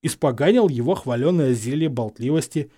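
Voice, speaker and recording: A man's voice: medium pitch, lively intonation, a little fast, dark tone, natural voice. A man voicing a hot-headed, stubborn teenage wizard boy in an audiobook, blunt and indignant. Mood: vengeful. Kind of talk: dramatised fan fiction audiobook.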